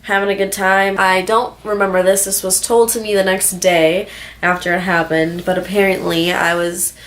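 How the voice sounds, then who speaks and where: A woman's voice: medium pitch, flat delivery, moderate pace. A twenty-year-old woman chatting casually in a personal vlog, rambling through stories in a candid, slangy way with plenty of swearing. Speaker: vlogger.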